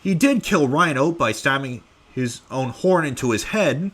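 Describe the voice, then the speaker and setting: Young male creator, slow offhand Q&A delivery, voice swooping up and down, fairly high for a man, lots of "uh"s. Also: animated, fairly bright tone, husky. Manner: rambling with many fillers, offhand. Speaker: creator answering fan questions. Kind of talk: casual live Q&A.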